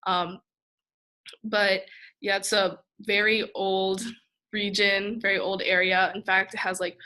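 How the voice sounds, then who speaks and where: A woman's voice: medium pitch, little pitch movement, slow, pushed and bright. Chatty young woman presenter. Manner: chatty, many ums and likes. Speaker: student presenter. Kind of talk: casual panel talk.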